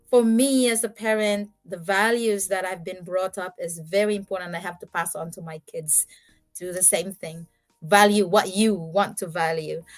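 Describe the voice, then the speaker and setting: A middle-aged woman from the Philippines, a native Ilonggo speaker, hosting a podcast and giving earnest advice with some hesitant fillers. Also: mid-range voice. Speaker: podcast host. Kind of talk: casual podcast chat and closing remarks.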